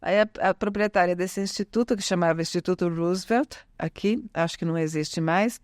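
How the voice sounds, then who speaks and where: Smooth-voiced Italian-born woman, chatty guest. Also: lowish voice, a little fast, darkish tone, no echo, clean studio sound. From Italy. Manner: chatty, with frequent tag questions and restarts. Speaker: interview guest, language teacher. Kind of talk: casual conversational interview.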